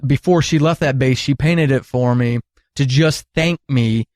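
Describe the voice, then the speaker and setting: A man's voice: medium pitch, measured pace, a little mellow, no room sound, clean studio sound. A middle-aged man telling candid, earnest stories at length as an interview guest, with emphatic phrasing. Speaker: podcast guest, retired military counselor.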